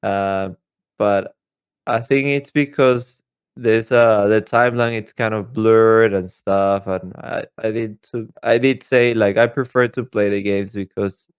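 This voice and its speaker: Male podcast co-host, lowish voice, dry recording, casual delivery. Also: steady tone, a little slow, clear, resonant tone, no echo. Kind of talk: casual chat about games.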